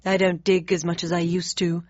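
A woman voicing a genteel female gorilla, speaking softly and a touch lower, with nostalgia. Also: little pitch movement, moderate pace, no room sound, muffled recording, lightly acted. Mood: nostalgic.

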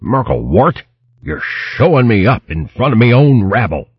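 Guy doing a loud rustic hedgehog baron, husky, rising high. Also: very animated, normal speed, dry recording, muffled recording. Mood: blustering. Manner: blustering, rustic. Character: blustering male hedgehog baron, henpecked.

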